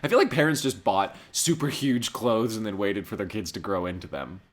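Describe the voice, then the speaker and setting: Sarcastic male commentator with animated pitch. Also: brisk. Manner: mocking, wisecracking. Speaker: comedic reviewer narrating an episode summary. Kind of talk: sarcastic humorous scripted commentary. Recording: music behind the voice. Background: music.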